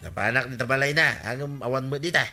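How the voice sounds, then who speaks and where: A man's voice: medium pitch, fast, pushed and bright, faint music behind. An actor playing an aggrieved, gossipy village man in an Ilocano radio comedy drama, with an Ilocano accent and a complaining, exclamatory manner. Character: aggrieved, gossipy village man demanding his lost things back.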